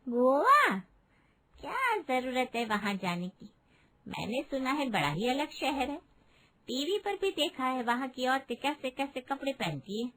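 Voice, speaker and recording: A woman's voice: high-pitched, very animated, a little slow, sharp tone, exaggerated voice. A woman voicing a judgmental, middle-aged gossiping aunt in a Hindi moral-story cartoon, complaining and scandalized. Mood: disapproving.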